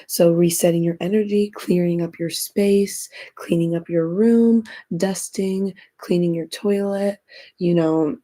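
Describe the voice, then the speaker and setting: A woman recovering from being sick, raspy as she hosts a chatty, encouraging self-help podcast. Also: fairly low pitch, mellow. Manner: chatty, encouraging, repeats phrases. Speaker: podcast host.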